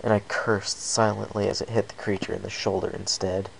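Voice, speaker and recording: A man with a low, creaky voice reading a scripted first-person adventure story as narrator. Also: level tone, brisk, poor audio. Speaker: amateur story reader. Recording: faint background hiss.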